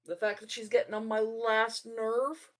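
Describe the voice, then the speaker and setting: A woman's voice: fairly high pitch, little pitch movement, a little slow. A woman playing a tabletop roleplaying game, improvising dialogue in character.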